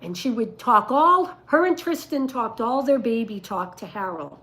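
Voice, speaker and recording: An older woman with a rough voice, telling stories and giving advice casually on a livestream, in an emphatic, deliberate way. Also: fairly high pitch, sing-song, fairly bright tone. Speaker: dog breeder and livestream host.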